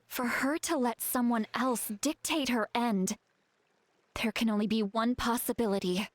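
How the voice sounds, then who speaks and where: A woman acts a serious, analytical young guide in a video game. The voice is fairly high, with an earnest, explanatory manner and a composed temper. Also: steady tone, unhurried, no echo, natural voice.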